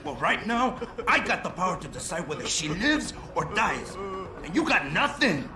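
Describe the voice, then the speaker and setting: A cornered, volatile hostage taker in a game. His highish voice swoops around in agitated, cocky street slang, with echo around it. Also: big pitch swings, normal speed. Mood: cocky, threatening. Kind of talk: video game voice acting. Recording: echoey game audio under loud music.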